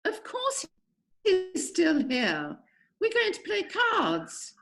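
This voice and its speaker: A woman playing a passionate aristocratic widow in an amateur play reading, with theatrical, drawn-out delivery. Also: very high-pitched, wildly swooping pitch, exaggerated voice. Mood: brittle hostess. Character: melodramatic aristocratic lady of the manor, passionate widow.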